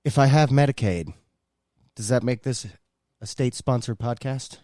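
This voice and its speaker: A man's voice: medium pitch, normal speed, darkish tone, no echo. A man co-hosting a podcast in casual chat, with a dry, joking, teasing manner. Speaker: podcast co-host.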